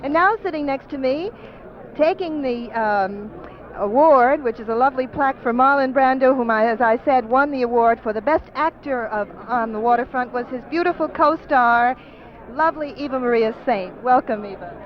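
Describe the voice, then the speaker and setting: A woman's voice: high-pitched, normal speed. A woman hosting a live radio interview at an awards event, with an effusive, courteous manner. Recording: dull lo-fi 1950s radio recording.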